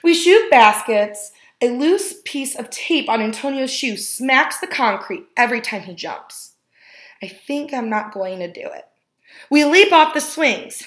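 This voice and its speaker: Higher-voiced woman reading a storybook, roomy echo. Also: sharp tone. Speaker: teacher reading a picture book aloud. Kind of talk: expressive read-aloud storytelling of a children's book. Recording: roomy, echoing indoor sound.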